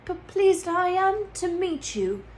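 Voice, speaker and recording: A woman's voice: very high voice, big pitch swings, takes it slowly, darkish tone, voice pushed up, exaggerated voice. A jittery young storybook professor acted by a woman stammers through each phrase in a raised voice. He sounds timid and uneasy, over a noisy recording. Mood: nervous. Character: timid, nervous young male professor with a stutter.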